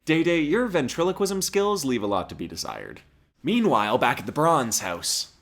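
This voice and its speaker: Sarcastic male commentator with animated pitch. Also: normal speed. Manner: mocking, wisecracking. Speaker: comedic reviewer narrating an episode summary. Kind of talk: sarcastic humorous scripted commentary. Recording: music behind the voice. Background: music.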